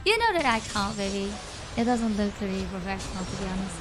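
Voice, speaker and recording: A woman's voice: mid-range voice, sing-song, fast talker. A woman with an Indonesian accent commentates a game live, chatting playfully and informally with viewers and often repeating her phrases. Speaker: esports livestream caster. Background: music.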